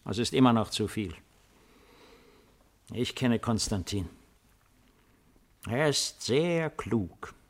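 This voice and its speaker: An actor in a 1950s German radio play playing an old, weary, retired Roman emperor with a deliberate, ironic, theatrical delivery. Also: male voice, medium pitch, lively intonation. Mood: bitter, reflective. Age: older.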